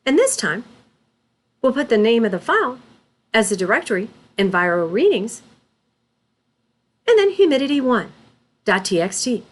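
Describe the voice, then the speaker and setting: High-voiced woman narrating a lively tutorial. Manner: deliberate, instructional, spells out terms letter by letter. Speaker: instructor. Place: voice-over for a screen recording.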